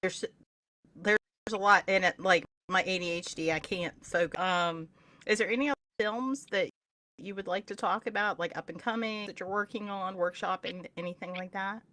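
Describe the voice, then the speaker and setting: A woman with a voice of average pitch hosts a casual interview. Her delivery is informal and somewhat halting, with joking asides dropped in along the way. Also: bright, forceful tone. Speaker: podcast host.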